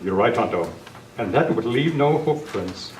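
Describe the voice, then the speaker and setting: An upright masked western hero, read by a man in old-time radio style. His mid-pitched delivery is formal and earnest. It sounds distant in an echoey room with faint noise. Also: lively intonation, moderate pace, darkish tone, natural voice. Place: club meeting room, live presentation.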